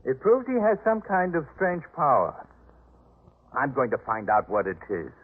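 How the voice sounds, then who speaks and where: An actor playing a proud, disdainful master of a biblical household in a 1950s radio drama, with haughty, emphatic delivery. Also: male voice, mid-range voice, wildly swooping pitch, normal speed, husky. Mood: scornful. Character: proud, indignant, disdainful male head of household in biblical times. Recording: muffled narrowband 1950s radio recording.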